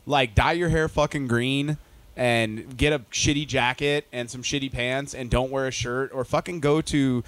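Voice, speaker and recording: A man's voice: medium pitch, steady tone, moderate pace, a bit bright, no echo. A man hosting a casual podcast, opinionated and emphatic, swearing often.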